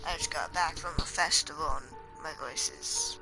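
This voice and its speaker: A young man chatting casually and hesitantly over gameplay, his voice scratchy and strained from yelling. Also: fairly high pitch, normal speed, vocal fry. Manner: hesitant, meandering. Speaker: gaming video host. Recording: loud game music and sound behind. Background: video game music.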